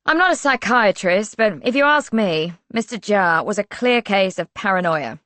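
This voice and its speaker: A woman's voice: medium pitch, lively intonation, normal speed, fairly bright tone, dry recording, pitched above the performer's own voice, natural voice. Brief: defensive, gossipy female administrator, read by the narrator, wry, dry sound.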